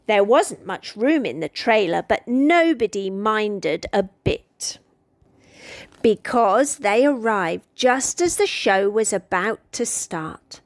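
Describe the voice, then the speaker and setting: A woman with a British accent reading a children's picture book aloud in an expressive, deliberate storytelling manner. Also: medium pitch, dry recording, studio quality.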